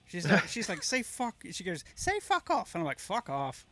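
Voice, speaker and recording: A man's voice: high voice, huge pitch swings, moderate pace, fairly bright tone. A man from the south of England with a mixed, middle-class English accent, telling stories in a chatty livestream conversation and laughing easily. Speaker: co-host. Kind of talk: casual chat on a livestream.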